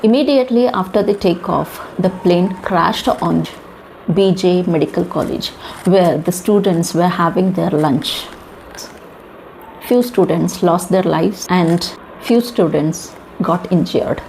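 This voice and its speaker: A woman with an Indian accent delivers news commentary at a slow, deliberate pace. She speaks in short, emphatic phrases and leans on rhetorical questions. There is some background noise in the recording. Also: on the low side, creaky, a little mellow, low-quality recording. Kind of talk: informal news commentary to viewers.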